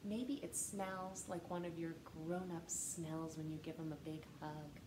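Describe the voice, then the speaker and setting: A woman in her thirties reading a picture book aloud to children in an expressive, gentle way, seated on a couch at home. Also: sits low, unhurried. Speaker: storytime reader. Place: living room, seated on a couch. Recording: echoey room with faint hiss.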